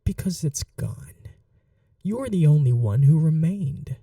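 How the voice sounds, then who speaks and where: A woman playing a cruel, possessive vampire mistress in an ASMR roleplay, speaking slowly in breathy half-whispers with menacing tenderness. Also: sits low, animated, warm tone, no room sound, clean studio sound, distant mic, heavily acted. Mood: coldly tender. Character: cruel, possessive vampire mistress, silkily taunting her betrothed captive. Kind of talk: ASMR audio roleplay, dramatic acting.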